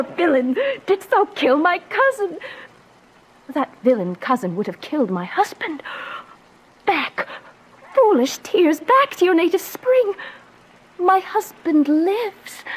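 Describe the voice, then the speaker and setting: Actress as young bride in Shakespeare radio drama: impassioned, declamatory, high pitch, slow drawn-out pace. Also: female voice, sing-song, full character voice, poor audio. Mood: remorseful. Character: lovestruck, anguished young noblewoman, newly married.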